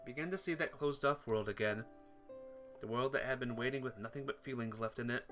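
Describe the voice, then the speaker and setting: A man's voice: mid-range voice, brisk, fairly bright tone, soft background music. A man narrating a visual novel playthrough, reading the story text aloud.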